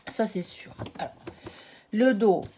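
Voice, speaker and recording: A woman hosting her own channel, comparing two products in a casual, hesitant way with frequent filler pauses. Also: mid-range voice, mellow, slight background noise. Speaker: channel host reviewing tarot decks.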